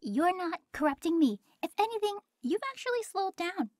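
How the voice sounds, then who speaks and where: A woman's voice: very high-pitched, big pitch swings, moderate pace, darkish tone, dry recording, studio quality. A woman playing an earnest, prim angel who gets a little flustered, in an audio roleplay. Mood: concerned.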